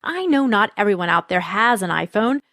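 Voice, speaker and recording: A woman's voice: medium pitch, big pitch swings, a little fast, fairly bright tone, dry recording, clean studio sound. A woman reading scripted narration for podcasts and radio, as a host and reporter.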